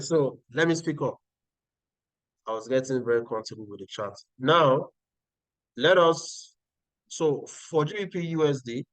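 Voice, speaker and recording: A man's voice: medium pitch, dry recording, low-quality recording. A Nigerian-accented man hosting a live trading stream, talking viewers through charts and answering their chat. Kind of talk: live-stream market analysis.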